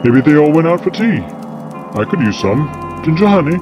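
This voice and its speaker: A man's voice: fairly high pitch, sing-song, normal speed, over music. An actor in an audio drama playing a glib, unflappable, eccentric male time traveller with a wry, evasive, flippant manner.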